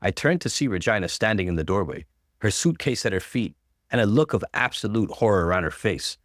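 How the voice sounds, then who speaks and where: A male narrator with a fairly low voice reads a first-person story aloud. His delivery is dramatic and very varied in pitch. The sound is dry, with no room around it. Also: lively intonation, fairly quick, no echo. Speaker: narrator reading stories aloud.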